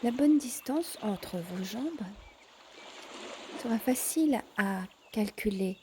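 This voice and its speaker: A woman teaching yoga in a calm guided instruction style, measured, pausing between short phrases. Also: fairly high pitch, noisy background.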